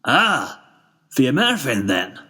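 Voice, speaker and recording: A man's voice: mid-range voice, huge pitch swings, slow pace, sharp tone, slightly rough, heavily acted. A man voicing a stout, forward male pony shopkeeper with a scratchy, sore-throated voice in a story reading. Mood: forward, salesy. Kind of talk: fan fiction character reading.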